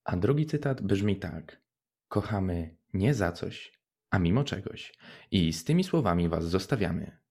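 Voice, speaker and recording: A man with a low voice works as a radio co-host. He delivers scripted links and sign-offs in a presenter's style. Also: expressive, unhurried, a little mellow.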